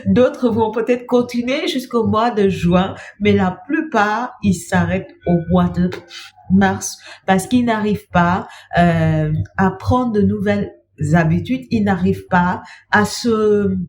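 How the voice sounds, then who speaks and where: Slow-speaking woman with a cold. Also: mid-range voice, a little hiss or hum. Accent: French. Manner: slow, gentle, repeats "bien-aimé" and pauses with "euh". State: has a cold. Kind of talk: devotional talk to camera.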